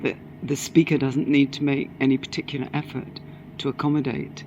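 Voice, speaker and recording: A woman interviewing a guest, asking structured questions in a measured way with short prompts. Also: extremely low pitch, flat delivery, darkish tone, muffled recording, slight background noise. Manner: measured, steers with short prompts and back-channel murmurs.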